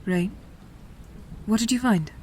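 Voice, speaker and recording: A woman acting a serious, probing investigator in an audio drama, with a breathy voice and a measured, insistent manner. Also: mid-range voice, noisy background, lightly acted. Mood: impatient.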